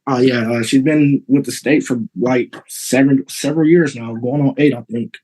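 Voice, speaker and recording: A man in his thirties from Texas, answering a judge's questions in court. His pitch is middling for a man. He speaks politely and haltingly, often with fillers. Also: fairly even intonation, warm tone, low-quality recording. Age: 30s. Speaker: litigant father.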